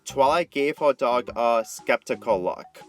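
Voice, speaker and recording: A man's voice: mid-range voice, expressive, measured pace, soft background music. A man narrating a fan fiction story as an amateur reader, often stumbling and rereading phrases.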